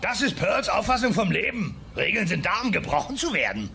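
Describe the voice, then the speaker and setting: A man's voice: sits high, very animated, brisk, gravelly, bright, forceful tone, slight background noise, exaggerated voice. A man playing a grumpy, blustering dinosaur father in a German children's cartoon audio drama, exasperated and slangy. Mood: disapproving.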